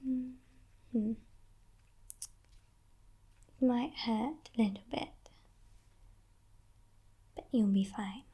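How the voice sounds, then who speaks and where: A woman voicing a playful, menacing female demon in a breathy, teasing whisper for an ASMR roleplay. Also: a little high, heavily acted. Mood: soothing, sly. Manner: teasing, condescending, softly sinister, unhurried.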